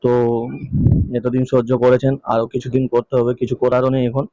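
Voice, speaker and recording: A man speaks quickly as a casual video host. His voice is mellow and fairly even, recorded dry and a little dull. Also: mid-range voice, no room sound. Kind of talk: casual vlog update. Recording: dull, lo-fi close dry sound.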